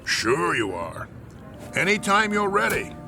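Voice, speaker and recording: Radio drama: wisecracking American team boss, gruff, animated intonation, music bed. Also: male voice, sits high, a little slow, heavily acted. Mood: sarcastic, doubtful. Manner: sarcastic, slangy, blustery. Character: gruff, wisecracking, shrewd baseball team manager. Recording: music behind the voice.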